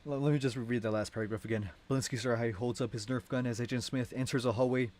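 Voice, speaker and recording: A man's voice: medium pitch, steady tone, quick pace, darkish tone. A young adult man narrating a script in an informal, amused way, often interrupting himself. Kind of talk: casual script read-along.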